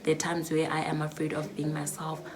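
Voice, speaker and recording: Low-voiced young woman from South Africa. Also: completely flat delivery, brisk, crowd noise. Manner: chatty, reflective, many fillers. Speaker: YouTuber. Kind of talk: casual Q&A vlog.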